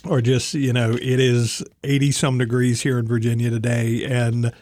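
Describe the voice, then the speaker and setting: A man's voice: medium pitch, little pitch movement, normal speed, darkish tone, no echo. A man hosting a casual talk show, stuffy from allergies, giving folksy, encouraging advice straight to a listener. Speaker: host.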